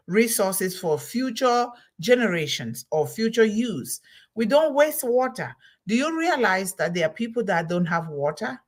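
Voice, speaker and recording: A middle-aged woman from Cameroon, hosting a solo motivational talk, emphatic and repeating her key words. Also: mid-range voice. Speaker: host of a motivational talk series.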